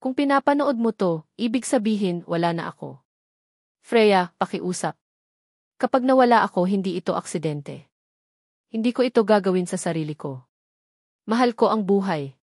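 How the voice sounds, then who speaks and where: A woman's voice: medium pitch, expressive, moderate pace, long pauses, a little mellow, no room sound, clean studio sound. Woman acting a desperate, pleading young Filipina. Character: frightened, desperate young woman confiding in her sister. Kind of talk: dramatic audio storybook dialogue.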